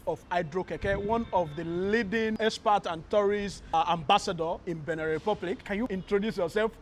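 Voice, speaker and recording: A man's voice: high-pitched, moderate pace, faint music behind. A man hosting an interview, introducing the show and asking questions. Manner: frequent soft backchannel murmurs. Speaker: host, interviewer.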